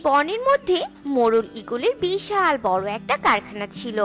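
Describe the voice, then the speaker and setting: A woman narrating a children's cartoon in a calm, storytelling manner. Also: high-pitched, moderate pace, soft background music.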